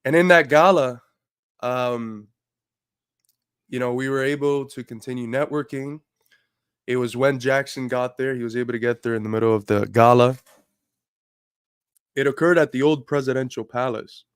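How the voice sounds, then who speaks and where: A man's voice: mid-range voice, normal speed, pauses often, clean tone, no echo, studio quality. A man hosting a livestream, talking earnestly and building to emphatic points while feeling under the weather. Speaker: livestream host. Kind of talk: casual livestream talk.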